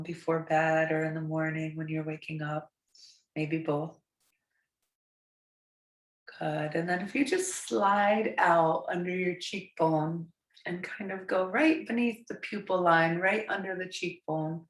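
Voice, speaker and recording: A hoarse woman in her forties with a raspy voice from a cold, gently guiding a yoga class with soft, soothing instructions. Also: fairly low pitch. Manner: slow, soothing, softly encouraging. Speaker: yoga teacher. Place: bright home studio room with large windows. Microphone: camera across the room. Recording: echoey room, voice from far off.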